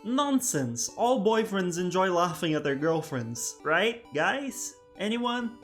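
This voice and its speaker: Guy narrating a game video essay, a bit high-pitched, deadpan self-mocking jokes, quiet music behind. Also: slow. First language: Portuguese. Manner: dry self-deprecating humor. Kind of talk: scripted commentary.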